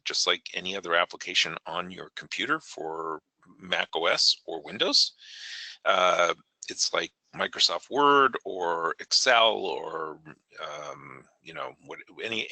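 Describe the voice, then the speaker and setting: Hoarse man with a deep, dry voice, talking haltingly through a software tutorial. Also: lively intonation, dry recording, low-quality recording. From New England, United States. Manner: halting, many fillers, clears throat. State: hoarse, gravelly voice. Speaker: software trainer hosting a webinar. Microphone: online meeting audio.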